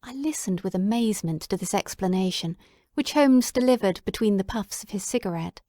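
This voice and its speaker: A woman giving steady first-person narration for a dramatic audiobook reading. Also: mid-range voice, fairly quick, no room sound, clean studio sound.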